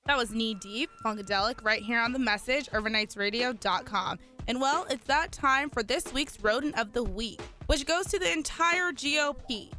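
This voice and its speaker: A woman's voice: on the high side, moderate pace, hardly any pauses, bright, forceful tone. A woman in her twenties with an American accent, hosting a radio show with scripted, sassy, opinionated political commentary. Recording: music bed behind voice.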